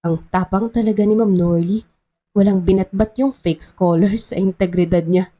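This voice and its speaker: A woman's voice: mid-range voice, steady tone, moderate pace, mellow, natural voice. A woman with a Filipino accent voicing a loyal, admiring onlooker in a story, talking casually.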